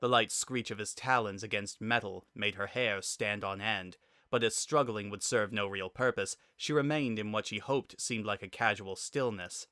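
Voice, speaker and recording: A man's voice: lowish voice, normal speed, sharp tone, no room sound, studio quality. A man narrating a scripted sci-fi story as a dramatic reading.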